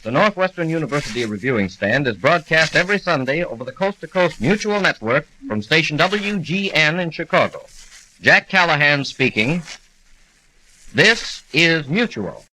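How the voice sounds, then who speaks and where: Old-time american radio announcer guy, sharp bright voice, scripted, slightly noisy recording. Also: on the high side, sing-song, moderate pace.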